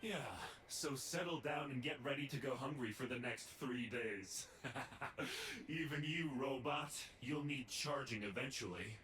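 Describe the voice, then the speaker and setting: A man's voice: medium pitch, animated, unhurried, pushed and bright, slight background noise. An actor playing a mocking, bullying henchman in a video game, sarcastic and taunting. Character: mocking, bullying male henchman of a villain faction.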